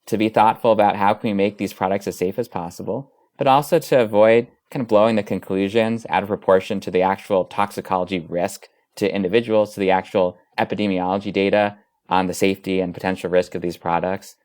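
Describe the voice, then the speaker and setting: A man with a low-pitched voice talks as an expert guest in an interview. He explains things in a measured, conversational way, building long, winding sentences. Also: fairly even intonation, a little mellow. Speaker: dermatologist and researcher, interview guest.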